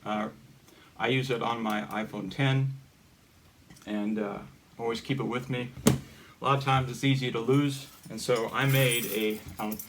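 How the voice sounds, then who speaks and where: A man presenting a hobby gear tutorial in a casual, unscripted way, with halting, rambling explanations. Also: medium pitch, fairly bright tone. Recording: noticeable background noise with clicks.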